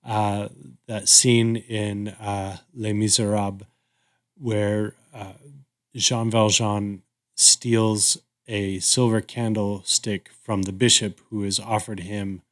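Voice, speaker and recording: Man, low-pitched voice, casual podcast discussion, agreeable, reflective, slightly halting delivery. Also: little pitch movement, fairly bright tone. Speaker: podcast co-host.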